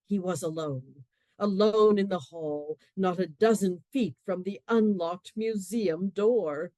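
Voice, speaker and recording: A woman narrating a radio play in a wry, expressive storytelling manner, dropping to hushed asides. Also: mid-range voice, no room sound.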